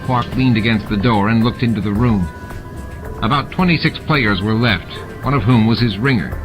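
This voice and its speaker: Low-voiced man narrating over music. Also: normal speed. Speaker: audiobook narrator. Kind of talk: scripted fiction narration. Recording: music and sound effects behind the voice. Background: added music and sound effects.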